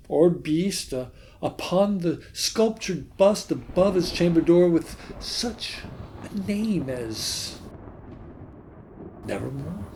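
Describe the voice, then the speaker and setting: A man giving a dramatic, theatrical recitation of a gothic poem, with halting delivery and long pauses. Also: high-pitched, dark tone, slight background noise. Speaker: poetry reader.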